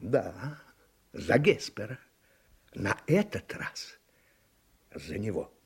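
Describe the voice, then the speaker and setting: An actor playing an irritable, combative newspaperman in a radio play, husky and emphatic, with a bitter edge. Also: lowish voice, big pitch swings, extremely slow, exaggerated voice. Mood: bitter, subdued. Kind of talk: Soviet-style radio play acting.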